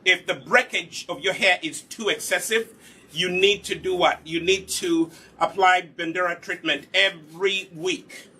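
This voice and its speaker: A man leads a live hair care lesson, patient and instructive. His voice sits a little high, with a very bright, sharp tone and a husky texture. He often poses a question and then answers it himself. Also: unhurried. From Uganda.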